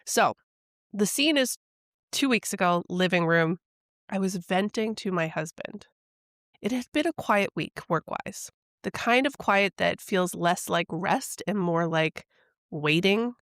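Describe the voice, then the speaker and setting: A middle-aged woman hosting a podcast, telling a personal story in a soft, reflective, confessional way, her voice still recovering from a head cold. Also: fairly low pitch, animated, unhurried, no echo, clean studio sound. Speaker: podcast host and intuitive coach.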